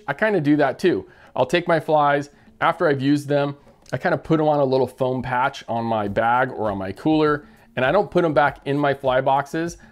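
A middle-aged man, hosting a casual, enthusiastic shop update vlog, talking plainly into a lapel mic in a small indoor studio. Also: medium pitch. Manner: upbeat, enthusiastic, plain-spoken with fishing slang. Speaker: fly shop owner and host.

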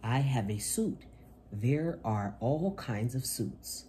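Storytime host: man, animated pitch swings, mellow tone, reading picture books to children. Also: slow pace, a little hiss or hum. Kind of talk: read-aloud children's picture book. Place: small indoor room at home.

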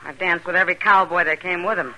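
Saloon woman: familiar, wry, very bright forceful tone, vintage radio drama style. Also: fairly low pitch, steady tone, fast talker, rough recording. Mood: weary, teasing. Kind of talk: 1950s radio drama acting.

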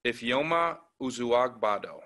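A man formally reading out graduate names as a commencement announcer, in a measured, clearly enunciated way. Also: mid-range voice, lively intonation, pushed and bright.

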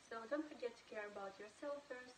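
A young woman from Moldova giving a gentle, reassuring recorded message. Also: a little high, a little fast. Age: young adult. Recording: echoey room with hiss.

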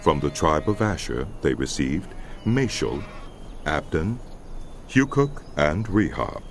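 A man reading scripture as an audiobook narrator, in a solemn, measured delivery over background music. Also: rumbling low, very animated, background noise.

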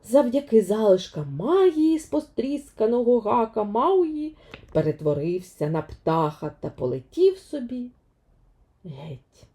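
A woman narrating a fairy tale for children, expressively and dramatically, with deliberate emphasis. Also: a little high, very animated, mellow. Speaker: children's storyteller.

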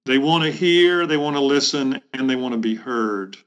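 A middle-aged man, a bishop answering interview questions in a reflective, halting way with measured phrasing. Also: medium pitch, a bit bright. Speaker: bishop, podcast guest. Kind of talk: thoughtful interview conversation.

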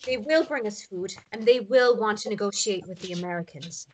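A woman's voice: mid-range voice, a little fast, slight background noise, natural voice, poor audio. An actress playing a stern woman captive who takes command like a military leader, giving firm, controlled orders in a drama read-through. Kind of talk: script read-through acting.

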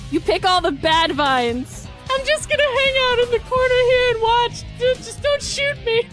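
Bratty, dramatic little sidekick voiced by a woman, piercingly high, animated, defiant, game fandub over music. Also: moderate pace. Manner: shrill, defiant, melodramatic. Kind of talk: improvised comedic game fandub.

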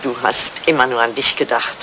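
Proud old lady, genteel but blunt, bright expressive voice, slightly noisy old radio recording. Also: very deep voice. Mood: reproachful. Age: older. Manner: brisk, outspoken, genteel. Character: proud, candid elderly English lady, an old flame. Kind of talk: 1980s German radio play acting.

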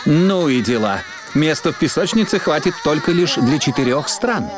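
A man's voice: a little high, expressive, moderate pace, lightly acted. A man narrating a children's cartoon in a scripted storybook style, with music behind him. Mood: storytelling.

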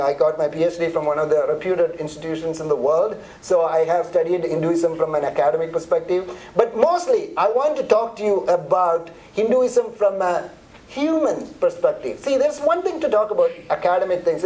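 A man's voice: fairly high pitch, a little fast, a little mellow, rough recording. A middle-aged man from India with an Indian accent, presenting a church seminar in a chatty, conversational way with frequent restarts.

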